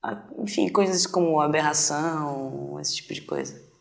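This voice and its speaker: Brazilian man, twenties, heavy vocal fry, halting delivery, casual interview testimony. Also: on the high side. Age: 20s. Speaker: documentary interviewee.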